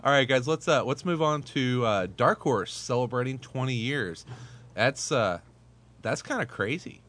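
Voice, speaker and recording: A man's voice: mid-range voice, expressive, moderate pace, a bit bright. A man in his thirties hosting a casual panel chat, talking hesitantly with many fillers and repeated words. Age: 30s. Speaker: podcast host.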